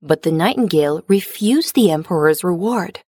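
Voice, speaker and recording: A woman's voice: fairly low pitch, lively intonation, normal speed, a little mellow, dry recording, studio quality. A woman narrator reading a children's fairy tale as scripted storybook narration.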